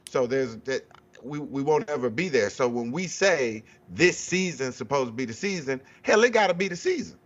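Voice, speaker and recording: A man's voice: medium pitch, expressive, moderate pace. Blunt, informal man, slightly dull sound. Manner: blunt, informal, circles back to restate his point. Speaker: sports talk show guest or panelist. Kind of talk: casual unscripted sports debate.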